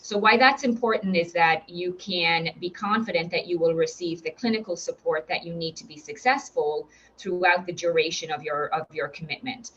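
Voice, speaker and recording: A woman's voice: on the low side, normal speed, hardly any pauses, fairly bright tone. Upbeat Irish woman presenting, lo-fi audio. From Ireland. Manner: upbeat, encouraging, with frequent fillers and restarts. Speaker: recruitment director presenting to nurses. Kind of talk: webinar presentation.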